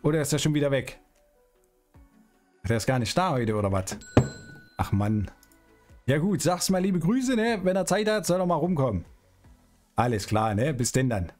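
A man's voice: fairly high pitch, animated, a little slow, long pauses, darkish tone, faint music behind. A middle-aged man giving casual, playful gameplay commentary and chatting in character. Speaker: streamer hosting a GTA roleplay series. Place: small indoor streaming room.

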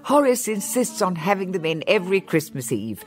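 An actress playing a kindly older woman, soft and confiding. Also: fairly low pitch, big pitch swings, moderate pace, soft background music. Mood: gentle.

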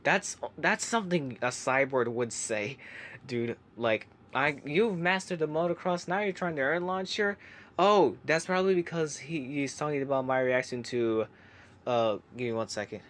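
Young man reading comments, hushed. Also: mid-range voice, moderate pace. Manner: chatty, hesitant, many fillers and restarts; kept quiet so family can sleep. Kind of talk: casual comment reaction. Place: home laundry room.

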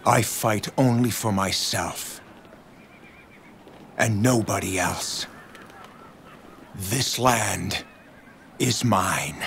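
Game role: selfish young warlord, icy, low-voiced, drawn-out delivery, faint noise. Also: male voice, creaky, fairly bright tone, full character voice. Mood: cold defiance. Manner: cold, deliberate. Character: cold, self-serving young male warlord. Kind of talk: dramatic video game cutscene acting.

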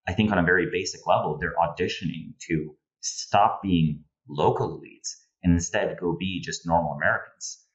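Low-voiced Slovenian-accented man, slightly dull audio. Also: normal speed, hardly any pauses. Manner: discursive, explanatory. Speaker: guest political analyst. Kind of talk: conversational analysis in an interview. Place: home room with bookshelves, indoors. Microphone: earphone headset on video call.

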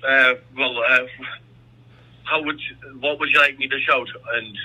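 A young man comes through a tinny telephone line with a very bright, sharp tone. He answers in a hesitant, agreeable way at a middling pitch. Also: fairly even intonation, measured pace, husky. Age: young adult. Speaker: army applicant, prank call target. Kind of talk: phone conversation.

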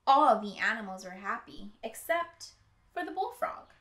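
Slow, bright-voiced young woman reading aloud. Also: a little high, sing-song. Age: young adult. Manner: deliberate, emphatic storytelling for children. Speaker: teacher reading aloud to children. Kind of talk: expressive picture-book read-aloud. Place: indoor room with bookshelves. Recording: roomy indoor echo.

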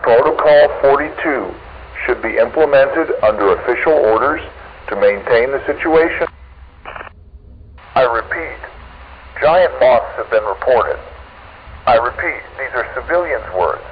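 A man's voice: medium pitch, lively intonation, lo-fi sound, lightly acted. Man acting as uneasy sheriff on noisy police radio. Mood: uneasy, official. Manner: halting, official, uneasy. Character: cautious county sheriff giving an uneasy emergency alert. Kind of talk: analog horror police radio dispatch.

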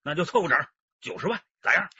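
一位男演员在广播剧中扮演三十多岁、操东北口音、粗豪土气、能说会道又凶狠的劫匪。. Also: male voice, on the high side, big pitch swings, fast talker, pushed and bright, breathy edge, muffled recording, heavily acted. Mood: wheedling. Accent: Northeastern Chinese. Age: 30s. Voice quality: brash, folksy. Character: wheedling, menacing middle-aged male robber posing as homebuyer. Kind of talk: radio drama acting.

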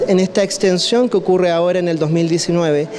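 A man, an audiovisual communicator giving a measured, explanatory interview answer into handheld reporter mics. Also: sits high, steady tone, over music. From Chile. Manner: measured, long explanatory sentences. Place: indoors by a stairwell with plants. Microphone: handheld reporter mics held up close.

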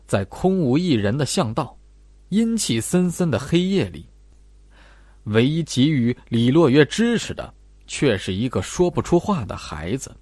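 A man reads a suspense horror audiobook in a medium-pitched, husky voice. His scripted delivery is dramatic, with wide swings in intonation, and the recording is close and dry. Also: very animated, measured pace, a little mellow, dry recording. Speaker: audiobook narrator.

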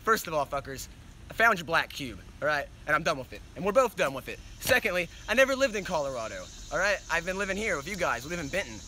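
A man acts out a brash young slacker in an amateur comedy skit, swaggering and repeating himself in slangy, profane bursts. His high, sharp voice swings animatedly, with some noise from the location behind it. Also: sing-song, quick pace. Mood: angry, fed up. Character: cocky, profane young slacker guy, exasperated with his friends.

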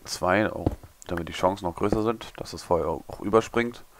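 A young man, a little hungover and hoarse, mumbling and thinking aloud as he builds in a game tutorial. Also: low-pitched, normal speed, background noise. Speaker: gaming tutorial creator. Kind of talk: casual commentary while building.

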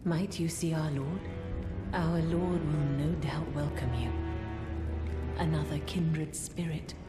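An actress voicing a grave, guilt-ridden mother who runs a manor, speaking in measured, solemn, formal lines. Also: deep voice. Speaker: game character. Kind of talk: video game cutscene acting. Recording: roomy echo. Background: game music.

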